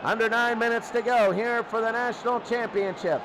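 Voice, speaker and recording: A man's voice: extremely high pitch, steady tone, moderate pace. A man doing live play-by-play football commentary in short, clipped phrases, often repeating his calls. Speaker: play-by-play sports announcer. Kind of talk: excited live American football radio commentary. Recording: loud crowd roar and heavy noise.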